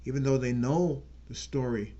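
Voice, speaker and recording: Earnest guy talking politics on his channel, leans on words slowly, kinda muffled audio. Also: mid-range voice, dark tone. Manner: earnest, slows down to stress key words. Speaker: channel host. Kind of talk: unscripted political talk.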